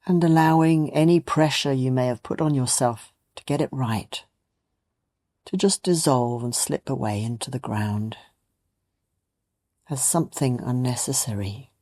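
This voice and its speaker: A meditation teacher gently leading a guided meditation in a soothing, unhurried way with long pauses. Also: mid-range voice. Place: meditation retreat.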